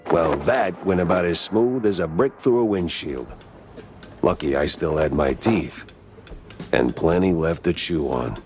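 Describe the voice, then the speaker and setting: An actor playing a wry, deadpan private detective mouse in a noir cartoon game, in the style of 1930s detective films. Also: male voice, low-pitched, unhurried, faint music behind. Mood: sardonic, dry.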